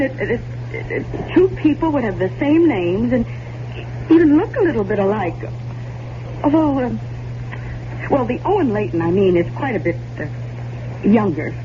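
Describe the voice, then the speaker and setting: An American actress playing an inquisitive, suspicious ship's social director in a 1970s radio drama, halting yet insistent. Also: female voice, a little high, sing-song. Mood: puzzled, flustered. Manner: halting, then pointedly insistent. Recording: muffled, noisy 1970s radio broadcast with hum.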